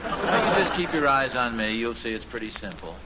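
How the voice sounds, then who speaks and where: Actor as animated fumbling American dad, old radio. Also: male voice, mid-range voice, normal speed, over a noisy crowd, natural voice. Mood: patient, muddled. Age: middle-aged. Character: fumbling, boastful suburban dad, proud amateur handyman. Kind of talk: 1950s radio sitcom acting. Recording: noisy, muffled 1950s radio recording.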